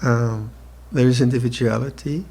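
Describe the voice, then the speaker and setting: Man, seventies, monk giving a dharma talk, slow reflective delivery, retreat hall. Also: mid-range voice, fairly even intonation, creaky, breathy edge. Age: 70s. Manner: slow, reflective, with soft laughs and restarts. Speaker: monk teacher.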